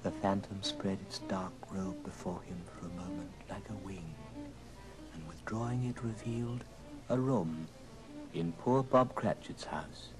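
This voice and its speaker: A man's voice: deep voice, a little slow, rough recording. A man narrating an old radio ghost drama as a grave storyteller, solemn and dramatic. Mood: solemn, eerie. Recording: old record with music underneath.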